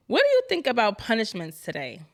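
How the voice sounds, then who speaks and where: A woman co-hosting a podcast, asking questions in playful casual chat full of slang. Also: fairly high pitch. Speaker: podcast co-host.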